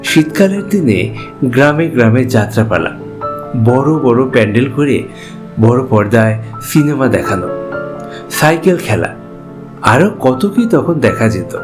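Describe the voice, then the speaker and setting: A man's voice: mid-range voice, very animated, moderate pace, pauses often. A man narrating a scripted ghost story as a storyteller. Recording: clear music bed under voice.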